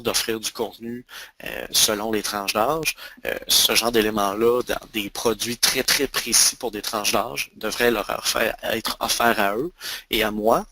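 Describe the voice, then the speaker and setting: A man in his thirties with a Quebec French accent, giving a webinar on email marketing and often pausing on fillers and restarts. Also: fairly low pitch, sharp tone, dry recording, poor audio. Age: 30s. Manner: hesitant, many fillers and restarts. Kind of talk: webinar presentation.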